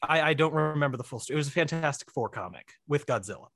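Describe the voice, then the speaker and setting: A man's voice: on the high side, animated, rapid-fire, vocal fry, fairly bright tone, no room sound. An American man in his thirties chatting casually as a guest, rambling and often cutting himself off. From Missouri, US. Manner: rambling, digressive, self-interrupting. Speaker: podcast guest, film director. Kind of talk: casual chat.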